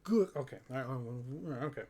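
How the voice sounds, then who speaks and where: A man reading a rhyming children's storybook aloud in a theatrical manner, with dramatic pauses. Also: mid-range voice, warm tone. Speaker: storybook reader on a holiday stream. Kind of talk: storybook read-aloud, scripted narration.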